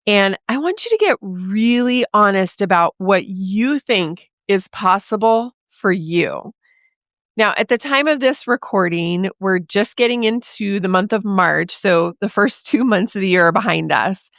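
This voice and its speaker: A woman in her forties hosting a podcast, talking straight to the listener in a chatty, encouraging way, her voice a little raspy from a sore throat. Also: mid-range voice, lively intonation, a little slow, fairly bright tone, dry recording, studio quality. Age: 40s. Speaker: podcast host. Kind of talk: casual podcast monologue.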